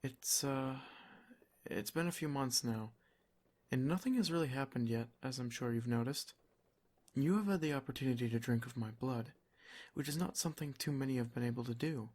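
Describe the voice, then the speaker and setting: A man playing a doting, protective vampire lord in an ASMR roleplay, soft-spoken, formal and reassuring. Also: mid-range voice, normal speed, natural voice. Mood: grave, concerned.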